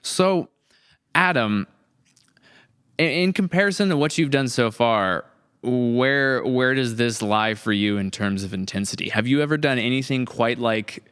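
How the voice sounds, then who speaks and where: A man's voice: mid-range voice, measured pace, fairly bright tone, smooth. A man hosting a casual podcast interview in an enthusiastic, joking manner. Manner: enthusiastic, jokey. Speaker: podcast host.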